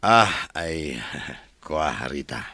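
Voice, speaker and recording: Slow, swooping leering creep. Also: male voice, very deep voice, sing-song, sharp tone, no room sound, heavily acted. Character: leering, mocking, crude male flirt and pest. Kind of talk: Filipino radio drama acting.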